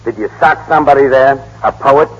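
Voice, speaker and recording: A man's voice: mid-range voice, fairly quick, lightly acted. A man playing a bossy, exasperated press minder in an old radio drama, blunt and urgent. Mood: impatient, probing. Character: brusque, exasperated fast-talking press minder. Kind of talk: 1930s-40s radio acting. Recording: muffled old radio broadcast, cavernous reverb.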